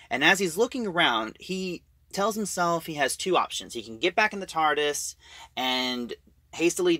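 A man giving a casual, halting recap of a story as a reviewer, in an informal way. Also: fairly high pitch, pushed and bright, dry recording.

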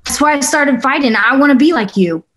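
A woman's voice: sits high, lively intonation, fairly quick, a bit bright. Woman playing a gushing young female fighter. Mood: admiring. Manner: gushing, casual, a little hesitant. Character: young wiry female fighter, awestruck yet sizing up rivals. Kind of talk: script table read.

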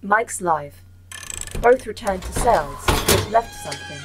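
A woman's voice: medium pitch, very noisy. An actress voicing a formal, wry female station AI in a sci-fi horror audio drama. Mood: matter-of-fact. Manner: formal, measured wording, deadpan.

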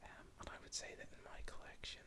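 Close whispered Northern Irish man. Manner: rambling, unhurried, self-deprecating. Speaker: ASMR creator. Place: indoors at a table. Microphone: close mic with wind pops.

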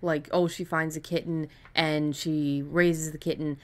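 A low-voiced woman in the role of podcast host. She talks in a casual, explanatory way, giving advice and sketching quick examples as she goes. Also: level tone. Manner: explanatory, illustrates points with made-up examples. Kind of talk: casual advice discussion about fiction writing.

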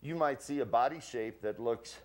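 A man's voice: mid-range voice, normal speed, a little mellow. A man in his sixties, a ski boot fitter, explaining his gear with props in a folksy, jokey way on a morning TV show set. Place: studio kitchen set.

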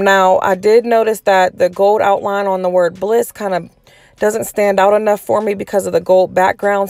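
Slightly hoarse, creaky-voiced woman. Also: mid-range voice, darkish tone, no room sound. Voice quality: a little raspy. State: getting over a cold, slightly hoarse. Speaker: tutorial host. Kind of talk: casual step-by-step tutorial voice-over.

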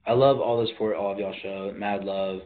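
A man's voice: lowish voice, fairly even intonation, fairly quick. Teen boy chatting on his phone livestream, laid-back slang, tinny phone-line sound. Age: teens. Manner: slangy, laid-back, reads viewer comments aloud. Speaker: livestream host. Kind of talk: casual livestream chat. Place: bedroom, indoors. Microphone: phone at arm's length.

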